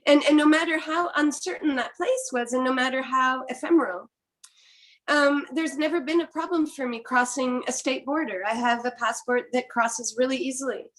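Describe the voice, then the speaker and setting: A woman's voice: sits high, normal speed, a bit bright, rough recording. A middle-aged woman from Quebec whose first language is French, speaking thoughtfully with many restarts in an academic panel discussion. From Quebec, Canada. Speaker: philosopher panelist.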